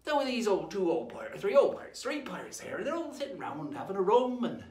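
A man's voice: sits high, huge pitch swings, fast talker, a little mellow. A man playing a jolly, boastful old pirate storyteller, rambling along in a folksy, theatrical way as he hosts a playful lesson. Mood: animated, boastful. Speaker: host of pirate-themed lessons. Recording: roomy echo.

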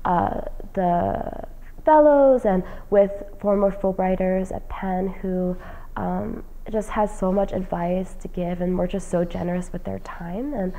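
Young woman with a mellow voice. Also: mid-range voice, steady tone, a little slow, rough recording. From New Jersey, United States. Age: young adult. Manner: casual, frequent "um" fillers, earnest. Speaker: graduating senior, fellowship recipient. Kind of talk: interview answers. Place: indoor lounge with plants and leather chair.

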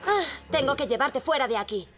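Una mujer con acento castellano que interpreta a una niña testaruda y expresiva en un doblaje de dibujos animados. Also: female voice, high-pitched, fairly quick. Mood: troubled, determined. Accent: Castilian Spanish. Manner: earnest, expressive. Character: determined, headstrong young girl who rides horses. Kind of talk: animated children's cartoon dubbing. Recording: loud music behind the voice.

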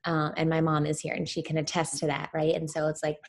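A young woman speaks in a low voice from a room at home. She introduces herself casually and personally to an online workshop group. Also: monotone, fairly quick. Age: young adult. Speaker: workshop participant. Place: living or dining room at home, video call.

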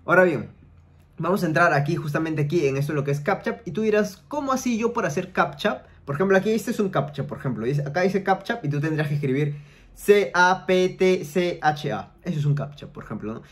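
A man's voice: fairly high pitch, expressive, brisk. Chatty young man explaining step by step. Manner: chatty, explains step by step with frequent filler tags. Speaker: online money tutorial host. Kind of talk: casual screen-share tutorial. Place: small room at home, indoors.

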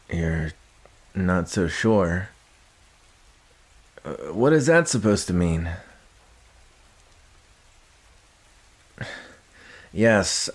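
A young man playing a gruff, guarded but secretly caring villain in an ASMR roleplay, speaking haltingly with sighs and grudging tenderness. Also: on the low side. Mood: concerned, awkward. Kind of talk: ASMR roleplay addressed to the listener.

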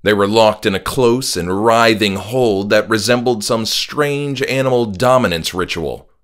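A man's voice: on the low side, measured pace, a bit bright. A man narrating a horror audiobook as its author, in a dramatic scripted style. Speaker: author narrating his own horror story. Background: music.

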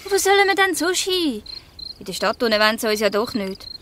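A woman with a Swiss German accent playing a bold, bossy teenage girl gang leader in a radio play, brisk and commanding. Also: a little high, big pitch swings, fairly bright tone, slight background noise. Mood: matter-of-fact. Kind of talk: radio play acting in dialect.

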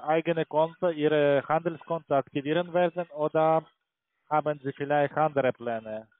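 Halting foreign salesman on a tinny phone line. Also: fairly high pitch, fairly even intonation, dry recording. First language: not German. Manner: halting, repeatedly tagging sentences with "ja". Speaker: call centre trading salesman.

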